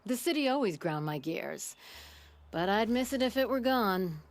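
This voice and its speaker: A woman's voice: a little high, lively intonation, moderate pace. An actress playing a brash, flirty female metalworker in a video game, blunt, cheeky and teasing. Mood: relaxed, fond. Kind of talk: video game dialogue acting.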